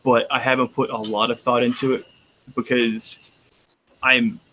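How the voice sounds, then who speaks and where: Man, Texan accent, casual roundtable chat, rambling, restarts, mid pitch. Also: little pitch movement, measured pace, bright, forceful tone, a little hiss or hum. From Texas. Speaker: roundtable panelist.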